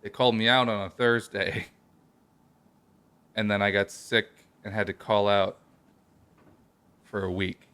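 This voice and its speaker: A man's voice: lowish voice, fairly even intonation, a little slow, pauses often, sharp tone, clean tone. A man co-hosting a casual comedy podcast, who jokes and explains things at length. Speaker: podcast co-host. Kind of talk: casual chat. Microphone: headphones with a remote call setup.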